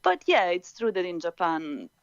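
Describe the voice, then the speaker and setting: Academic guest, Italian-native woman, unhurried, many fillers, lo-fi dull line. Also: lowish voice, sing-song, clean tone, no room sound. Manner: thoughtful, with many pauses and fillers. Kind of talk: casual interview answers.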